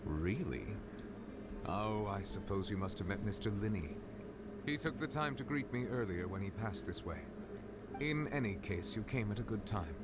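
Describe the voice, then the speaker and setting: An actor playing a composed, formal judge and dragon in a video game, speaking in a measured, solemn way. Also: male voice, on the low side, expressive, heavy background noise, natural voice. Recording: game audio under loud music.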